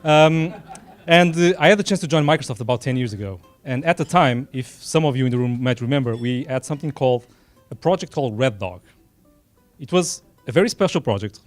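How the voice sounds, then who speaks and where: A man's voice: mid-range voice, no echo. Earnest Portuguese-accented man speaking off the cuff. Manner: earnest, unscripted, frequent self-deprecating asides. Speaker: event sponsor speaker. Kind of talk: informal speech at a dinner event. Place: small room at a dinner event.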